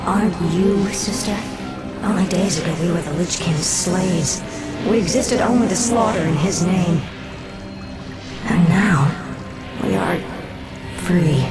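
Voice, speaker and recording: A woman's voice: lowish voice, unhurried, long pauses, over loud music, lightly acted, low-quality recording. An actress playing a cold, bitter, proud undead elven queen in a video game cutscene. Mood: bitter, brooding.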